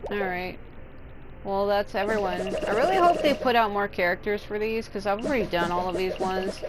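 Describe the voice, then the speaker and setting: A woman's voice: medium pitch, normal speed, poor audio. A woman giving casual, rambling gameplay commentary as a streamer, sounding a bit tired and unwell. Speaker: gaming streamer. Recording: game music behind the voice. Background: video game music.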